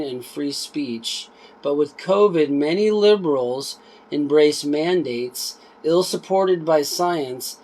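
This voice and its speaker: A man reading a book aloud in an informal way, emphatic and deliberate on the text, with offhand asides in between. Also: a little high, rough recording.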